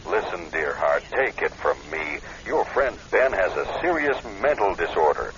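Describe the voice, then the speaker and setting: An actor playing a blunt, protective ex-husband, a doctor, in a radio drama, giving wry and brisk advice. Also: male voice, deep voice, very animated, fairly bright tone, exaggerated voice. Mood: blunt, concerned. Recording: dull lo-fi radio recording.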